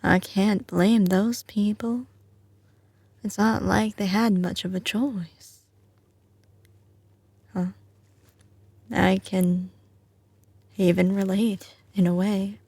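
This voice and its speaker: A woman's voice: mid-range voice, fairly even intonation, slow pace, vocal fry, long pauses, darkish tone, husky, no echo, exaggerated voice. A woman with a slight Southern US drawl playing a doting but ruthless mafia boss girlfriend in an intimate ASMR roleplay. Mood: intimate, musing. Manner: drawling, teasing, sliding between commanding and tender. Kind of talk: ASMR audio roleplay, intimate scripted acting.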